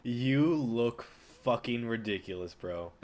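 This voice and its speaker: A man's voice: medium pitch, lively intonation, takes it slowly, darkish tone. Man playing a scornful, cynical film executive. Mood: mocking. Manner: profane, belittling, driven. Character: cynical, scornful, vengeful male film distributor boss. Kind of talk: staged reading of a play.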